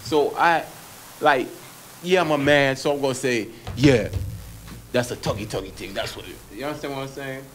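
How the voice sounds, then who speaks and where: A man's voice: mid-range voice, moderate pace, fairly bright tone, slight background noise. Laid-back Jamaican man on radio. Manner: laid-back, rambling, keeps asking if you understand. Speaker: radio show co-host. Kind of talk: casual radio discussion. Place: small radio studio. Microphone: studio boom mic.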